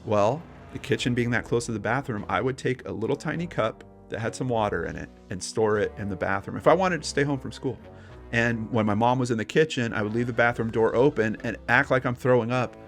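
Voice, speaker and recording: A man's voice: medium pitch, a little fast, faint music behind. Casual man co-hosting a talk show. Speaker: podcast co-host. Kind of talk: casual paranormal discussion show with storytelling.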